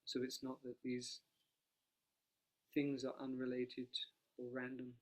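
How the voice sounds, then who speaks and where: Soft-spoken monk guiding a meditation. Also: male voice, mid-range voice, monotone. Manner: soft-spoken, quiet, unhurried. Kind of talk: guided analytical meditation. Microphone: no dedicated microphone.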